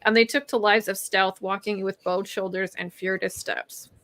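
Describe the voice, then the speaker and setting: A woman's voice: mid-range voice, steady tone, normal speed, fairly bright tone, no room sound. Casual woman hosting, in a dry room. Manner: informal, reactive, frequent "like". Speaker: host. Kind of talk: casual book discussion.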